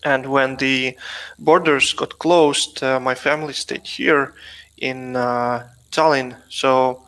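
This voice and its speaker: Man from russia, bright voice, video-call guest, relaxed and hesitant. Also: mid-range voice, slow pace, clear, resonant tone. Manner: relaxed, dry humor, hesitant fillers. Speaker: guest, plugin developer. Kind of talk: casual video-call chat.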